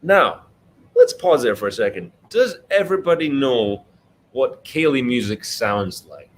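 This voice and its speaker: A man's voice: mid-range voice, very animated, unhurried. A man reading a children's book aloud as its author, expressively, with simple explanatory asides to young listeners. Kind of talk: read-aloud storytelling for children, interactive.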